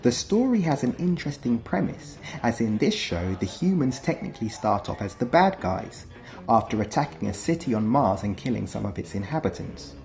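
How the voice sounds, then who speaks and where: A male narrator delivers scripted documentary commentary with an animated, rising and falling pitch. His voice sits in the middle register, with music playing softly behind him. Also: normal speed, gravelly. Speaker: narrator of a countdown video.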